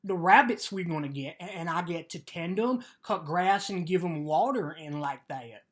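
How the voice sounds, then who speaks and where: A woman playing a simple, trusting male American ranch laborer in a dramatic reading, speaking in earnest, halting rural vernacular. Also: lowish voice, expressive, natural voice. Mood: eager, simple. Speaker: actor.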